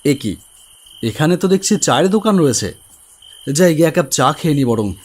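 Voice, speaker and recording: A man's voice: fairly high pitch, lively intonation, normal speed, a little mellow. A man giving scripted, dramatic first-person narration for a cartoon horror story. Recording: faint crickets and rain ambience.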